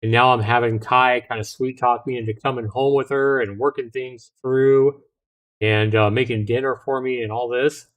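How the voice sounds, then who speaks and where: A man's voice: medium pitch, steady tone, moderate pace, a bit bright. A middle-aged man hosting a livestream, reading a visual novel aloud with casual asides to viewers and occasional stumbles over the text. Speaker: livestream host.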